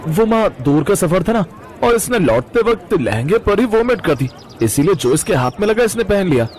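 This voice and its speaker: Man acting as young adult son, lively swooping pitch, fairly high voice, eager, cartoon story dialogue. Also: normal speed. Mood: explaining.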